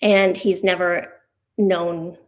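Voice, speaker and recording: A woman's voice: fairly low pitch, little pitch movement, slow. A woman from Canada giving an earnest personal talk as an invited speaker, with some hesitation. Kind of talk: personal talk at a community event.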